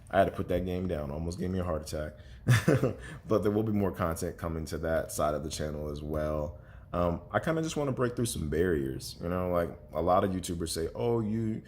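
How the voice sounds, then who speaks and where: A man's voice: deep voice, darkish tone, a little hiss or hum. A congested young man vlogging casually at home, rambling with lots of fillers and restarts. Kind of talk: casual vlog chat to camera.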